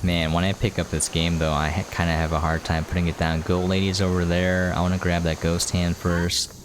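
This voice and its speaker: A man's voice: sits low, level tone, fairly quick, background noise. A man giving casual, conversational commentary while playing a game, in an informal way. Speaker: game reviewer and player, host.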